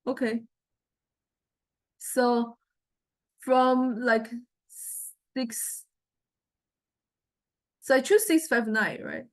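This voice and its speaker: A woman lecturer giving an informal software demonstration, hesitantly thinking aloud as she goes. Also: a little high, fairly even intonation, drawn-out words, pauses often. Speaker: music technology lecturer.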